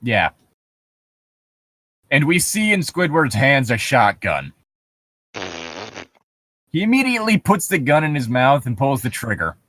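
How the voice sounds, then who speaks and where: Male podcast co-host, expressive and incredulous, swears freely, casual riffing, dry close sound. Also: mid-range voice, measured pace, long pauses, dry recording. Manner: profane, incredulous nitpicking. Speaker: podcast co-host reading and commenting on a horror story. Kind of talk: casual comedic commentary.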